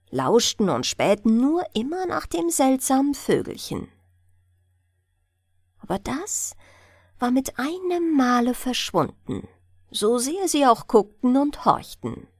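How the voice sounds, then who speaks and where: A woman reading a fairy tale as a bedtime audiobook, in an expressive storytelling manner. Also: fairly high pitch, dry recording, studio quality. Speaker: audiobook reader.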